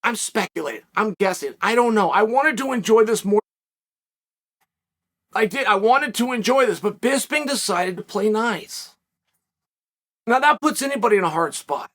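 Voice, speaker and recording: Man, 40s, high voice, forceful bright tone, animated solo commentary, dark studio. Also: normal speed, pauses often. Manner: animated, speculative, emphatic storytelling. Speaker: show host, commentator. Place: dark studio set, seated in armchair.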